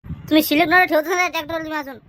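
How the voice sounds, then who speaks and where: A woman's voice: high-pitched, steady tone, racing, fairly bright tone, no room sound, studio quality. A woman playing a chatty male village auto-rickshaw owner in a comedy cartoon, with a colloquial Vidarbha Marathi accent. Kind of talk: homemade rural comedy cartoon dialogue.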